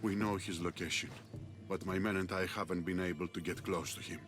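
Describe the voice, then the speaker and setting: An actor playing an earnest, embittered Greek rebel leader in a video game, urgent and conspiratorial. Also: male voice, low-pitched, steady tone, brisk, over music, close to an everyday voice. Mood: bitter, urgent. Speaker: video game supporting character. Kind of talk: acted game dialogue.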